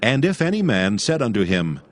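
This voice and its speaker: A man's voice: on the low side, very animated, fairly quick. A man giving solemn, scripted storytelling narration for a dramatized scripture recording.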